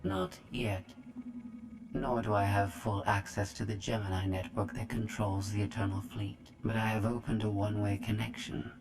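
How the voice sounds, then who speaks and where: A woman's voice: rumbling low, steady tone, moderate pace. A woman voicing a cold, calculating female AI droid, precise and clipped, through a robotic filter. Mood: clinical.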